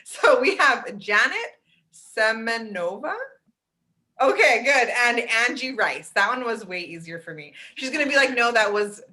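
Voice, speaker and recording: A woman's voice: on the high side, lively intonation, a little slow, bright, forceful tone. A woman co-hosting an interview show, upbeat and chatty with self-deprecating asides. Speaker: podcast co-host.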